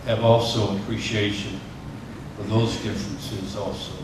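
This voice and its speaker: This is a man on an interfaith panel with a low, flat voice and an earnest, steady delivery. He is recorded from a distance in a reverberant hall with clear noise. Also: measured pace. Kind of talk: unscripted panel discussion. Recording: echoey hall from far off, noisy.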